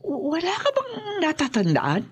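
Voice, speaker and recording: An anxious grandmother speaks urgently in a radio horror play. Her voice leaps across a very wide pitch range. Cricket and insect noise sits clearly under it. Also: wildly swooping pitch, moderate pace. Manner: urgent, fretful.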